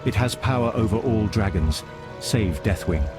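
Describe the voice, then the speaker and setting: A man's voice: on the low side, lively intonation, close to an everyday voice. A man playing a grave, wise wizard mentor, speaking solemnly in a fantasy game cutscene. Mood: solemn hope. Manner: solemn, measured exposition. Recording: very loud music over voice. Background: loud music.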